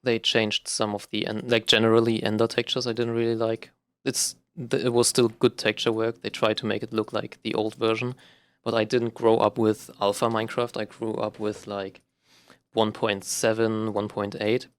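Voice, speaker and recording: A man's voice: fairly low pitch, completely flat delivery, normal speed, clean tone. A young man from Germany with a German accent, talking unscripted and rambling while he plays a game, with many fillers and restarts. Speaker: gaming vlogger. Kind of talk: casual unscripted talk while playing a game.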